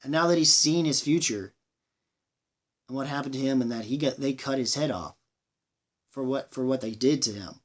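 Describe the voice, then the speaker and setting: A man giving a casual movie review monologue, rambling and halting, with enthusiastic delivery and plenty of swearing. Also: mid-range voice. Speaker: film reviewer, channel host.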